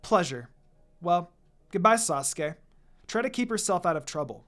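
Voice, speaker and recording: Sharp-tongued young girl, acted by a narrator, snappy and dismissive, lively pitch, music underneath. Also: moderate pace, close to an everyday voice. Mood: smug, teasing. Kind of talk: acted fan fiction dialogue.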